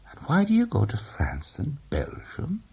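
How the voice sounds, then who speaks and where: A man's voice: mid-range voice, huge pitch swings, measured pace, gravelly, mellow, husky, muffled recording, pitched above the performer's own voice, full character voice. A man voicing an earnest young woman, who presses with probing questions. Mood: challenging.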